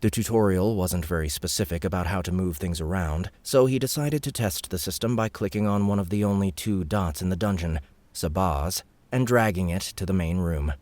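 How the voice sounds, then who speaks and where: Deep-voiced male audiobook narrator. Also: no room sound. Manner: steady, measured storytelling. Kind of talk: scripted fantasy narration.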